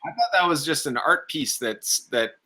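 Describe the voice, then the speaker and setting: Guy chatting offhand, kinda unsure, sharp bright voice that moves up and down a lot. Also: a little high, lively intonation, normal speed. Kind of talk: casual chat.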